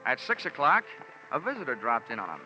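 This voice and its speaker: Tough, wisecracking investigator, cutting bright voice, clipped and wry; vintage radio drama, muffled sound with music. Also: male voice, mid-range voice, huge pitch swings, brisk, exaggerated voice. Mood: wry narration. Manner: clipped, hard-boiled, wry. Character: tough wisecracking male insurance investigator, cool and world-weary. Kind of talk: 1940s-50s radio detective acting and narration. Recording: dull old radio recording with music.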